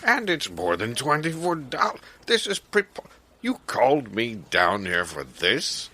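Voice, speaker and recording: A man's voice: mid-range voice, wildly swooping pitch, unhurried, bright, forceful tone, exaggerated voice. A man playing an indignant, pompous store manager who sputters with exasperation in an improvised comedy sketch.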